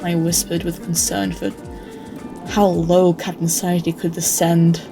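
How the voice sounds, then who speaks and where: Creaky, low-voiced weary woman acting a reluctant wasteland narrator. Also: level tone, measured pace. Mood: weary, uneasy. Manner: tired, awkward, sighing understatement. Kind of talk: first-person scripted narration, comic dub. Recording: background music behind the voice.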